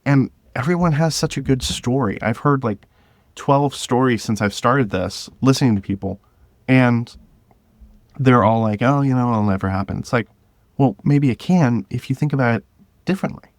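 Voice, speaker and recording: A man's voice: mid-range voice, moderate pace, dry recording, clean studio sound. A man speaking as a podcast guest, chatting casually and earnestly, rephrasing as he goes. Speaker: podcast guest, creator of a video podcast drama. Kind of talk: casual interview chat.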